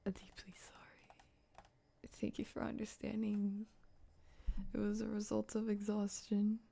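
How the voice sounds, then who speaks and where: A soft-voiced woman chatting playfully and casually to viewers on an ASMR livestream, often dropping into a whisper. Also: medium pitch, completely flat delivery, measured pace, vocal fry, breathy edge. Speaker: ASMR live streamer.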